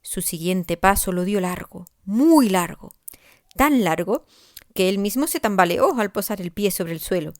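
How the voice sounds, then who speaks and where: A woman narrating a scripted children's story expressively, with deliberate emphasis. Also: medium pitch, expressive. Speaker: author narrating her own story.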